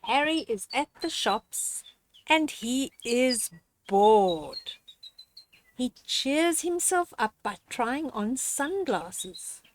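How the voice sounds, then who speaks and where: An older woman reads a children's storybook aloud with very lively, swooping intonation. She takes her time, pausing deliberately and speaking straight to the young listener in a gently instructive way. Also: high-pitched, slight background noise, poor audio. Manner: expressive, with deliberate pauses and dramatic gasps.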